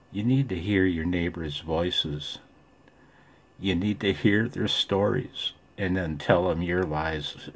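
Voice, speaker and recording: Male radio hobbyist, husky and lowish, rambling folksy delivery, casual monologue. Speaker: ham radio vlogger.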